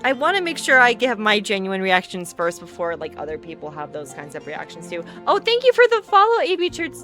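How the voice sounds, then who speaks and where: A woman's voice: medium pitch, big pitch swings, fairly quick, fairly bright tone. A woman game streamer chatting casually on a livestream in a rambling, giggly manner. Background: video game music.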